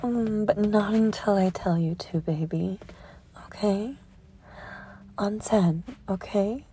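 A woman in an intimate erotic roleplay, coaxing and teasingly dominant, with a breathy voice that often drops to a whisper amid sighs and moans. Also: medium pitch, mellow, a little hiss or hum. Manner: moaning, sighing, slow sultry coaxing. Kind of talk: erotic audio roleplay, intimate partner address.

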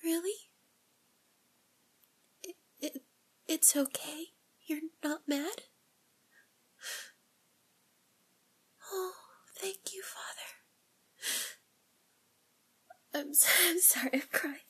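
A woman playing a nervous young woman confessing to a priest, speaking in a breathy whisper with halting, tearful, pleading phrases. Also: high-pitched, fairly even intonation. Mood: relieved, earnest. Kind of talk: whispered audio roleplay monologue.

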